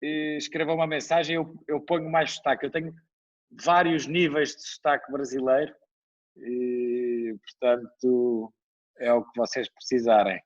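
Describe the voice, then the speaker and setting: A man with a European Portuguese accent, a winemaker speaking as an interview guest in a measured, explanatory way. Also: mid-range voice, little pitch movement, no echo. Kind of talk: conversational interview. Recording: dull dry lo-fi sound.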